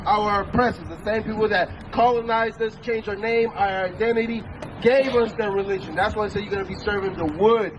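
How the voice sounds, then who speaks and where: High-voiced emphatic street preacher man. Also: steady tone, a little fast, highs cut off. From El Salvador. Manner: emphatic, rhetorical questions, commanding scripture reads. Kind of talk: street sermon. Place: outdoors on a city street. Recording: street traffic noise.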